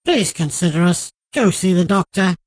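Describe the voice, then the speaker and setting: A man playing a thin, energetic male office colleague in a radio drama, bossy, brash and emphatic. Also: high-pitched, normal speed, dry recording, voice pushed up. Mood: exasperated, bossy.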